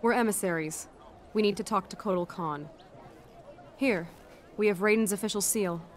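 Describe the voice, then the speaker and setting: A woman's voice: mid-range voice, normal speed, a little hiss or hum, lightly acted. A woman playing a brash young squad leader in a video game, assertive and a little flippant. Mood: earnest.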